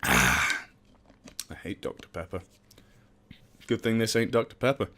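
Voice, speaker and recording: A man's voice: on the low side, very animated, measured pace, bright, forceful tone. A young man casually reading a visual novel aloud for a let's play. He jokes, swears and mocks himself as he stumbles over words.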